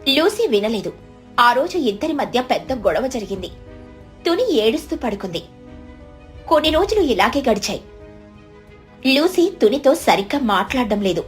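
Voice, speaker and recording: A woman's voice: a little high, very animated, moderate pace, pauses often, faint music behind. A woman narrating a scripted children's story.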